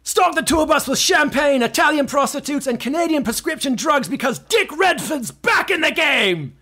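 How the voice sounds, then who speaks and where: This is a brash middle-aged British rock star in an audio comedy. His voice rides very high and jumps around animatedly. He is theatrical, sweary and full of himself. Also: animated, moderate pace, sharp tone, soft background music, full character voice. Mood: exuberant, boastful. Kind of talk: comedy audio drama acting.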